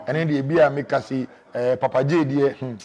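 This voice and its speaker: A man with a Ghanaian accent and a deep voice, a media personality telling stories in an animated interview and raising his voice for emphasis. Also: moderate pace. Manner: switches between calm storytelling and bursts of loud emphasis.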